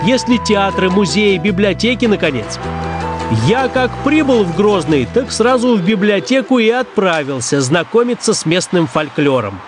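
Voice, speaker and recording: High-pitched man narrating over music. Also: expressive, normal speed. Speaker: reporter and presenter of a travel documentary. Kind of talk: scripted documentary narration with storytelling turns. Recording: music bed under the voice.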